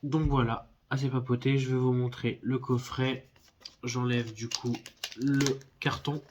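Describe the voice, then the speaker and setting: A man's voice: mid-range voice, flat delivery, a little slow, noisy background. A man with a stuffy head cold casually presenting a collector's box set, hesitant and friendly, with lots of filler sounds. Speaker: film collector YouTuber. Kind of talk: casual unboxing video.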